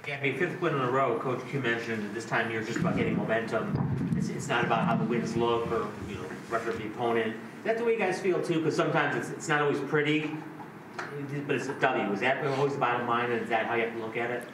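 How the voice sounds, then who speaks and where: Quick male reporter in an echoey room. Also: mid-range voice. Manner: chatty, stacks several questions. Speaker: sports reporter. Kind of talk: press conference questions. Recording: distant, echoey, noisy room mic.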